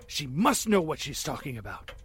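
An actor playing a nervous, hunted man who fears murder, talking to himself in halting, panicky bursts in a radio drama. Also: fairly high pitch, very animated, fairly bright tone. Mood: jumpy, nervous. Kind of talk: 1940s radio drama acting.

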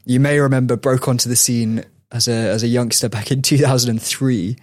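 A man's voice: mid-range voice, fairly even intonation, a little fast, dry recording. A man hosting a podcast, telling stories in a chatty way with frequent laughs. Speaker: podcast host. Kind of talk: casual chat.

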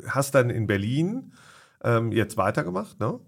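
A man's voice: mid-range voice, very animated, measured pace, vocal fry, breathy edge. A man hosting a podcast in a conversational interview style, with hesitant, rambling phrasing full of ums. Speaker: podcast host.

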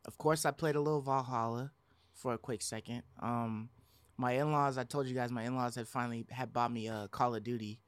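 A man's voice: mid-range voice, steady tone, a little fast, smooth, dry recording. A man co-hosting a podcast, chatting casually and informally about video games in loose slang. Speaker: podcast co-host.

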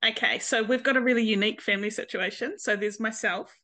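A woman's voice: on the high side, fairly even intonation, fast, pushed and bright. A woman in her late thirties with a New Zealand accent tells her story openly and candidly. She talks in a frank, easygoing way and often laughs. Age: late 30s. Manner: open, frank, laughs easily. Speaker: guest, nurse and mother. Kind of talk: personal story in interview.

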